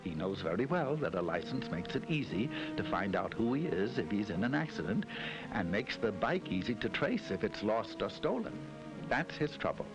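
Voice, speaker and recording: A man's voice: on the low side, sing-song, moderate pace, low-quality recording. A man giving scripted 1960s educational film narration in a wry, mock-solemn storytelling manner. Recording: narration over loud music.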